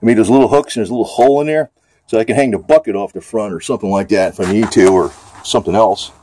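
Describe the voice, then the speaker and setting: A man with an American accent and a fairly low voice gives a casual, unscripted product review. He rambles in a plain-spoken, mildly profane way. The sound is very dry, with hardly any room on it. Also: racing, mellow, no echo.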